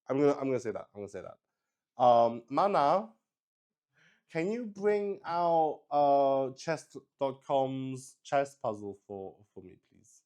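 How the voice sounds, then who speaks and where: Slow, halting man running a solo roleplay. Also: mid-range voice, darkish tone. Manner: halting, thinking aloud, repeats phrases. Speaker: game master and solo player, show host. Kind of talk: improvised tabletop roleplay narration on a stream.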